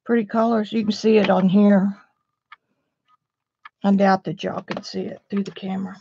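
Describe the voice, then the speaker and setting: A woman's voice: medium pitch, level tone, normal speed, gravelly, dark tone, highs cut off, a little hiss or hum. A woman, chatting casually on a live stream as an art teacher, a bit sniffly, describing her supplies to viewers.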